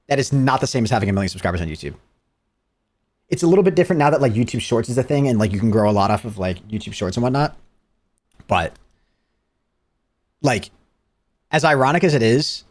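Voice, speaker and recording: A young man live-streaming his reaction to a video, chatting casually and rambling with lots of "like". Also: medium pitch. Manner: rambling, heavy use of "like".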